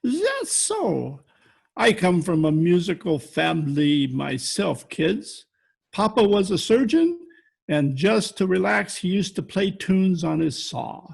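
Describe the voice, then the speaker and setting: Radio comedy old codger: very gravelly, slow, folksy teaser with corny jokes. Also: male voice, sits high, sing-song, exaggerated voice. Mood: folksy, joking. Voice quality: creaky, husky old voice. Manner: drawling, folksy, chuckling. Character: folksy teasing old-timer who tells corny jokes. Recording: home recording over video call.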